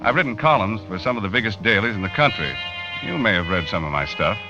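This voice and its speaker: Matter-of-fact writer, American accent, deep voice, old radio mystery narration, dull vintage recording. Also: male voice, expressive, fast talker, music in the background. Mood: measured, factual. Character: matter-of-fact male magazine writer narrating his investigation. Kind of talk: 1940s-50s radio drama first-person narration.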